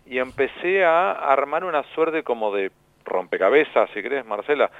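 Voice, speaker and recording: A man's voice: medium pitch, dry recording. Rioplatense man on a tinny phone line. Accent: Rioplatense Spanish. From La Plata, Argentina. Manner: measured, explanatory storytelling. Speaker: journalist and author, interview guest. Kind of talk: phone-in radio interview.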